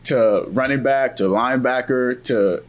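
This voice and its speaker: A young man from West Africa hosting an interview, talking in a relaxed, loose way. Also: mid-range voice, unhurried. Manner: relaxed, laid-back, loose phrasing. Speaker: podcast host. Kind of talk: casual interview chat.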